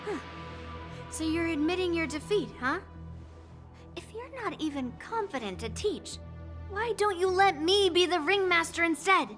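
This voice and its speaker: A woman's voice: extremely high pitch, expressive, a little slow, fairly bright tone, soft background music, exaggerated voice. A woman voicing a cocky, teasing young girl swordmaster in a game, with a blunt, smug manner. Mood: taunting. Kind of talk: game cutscene voice acting.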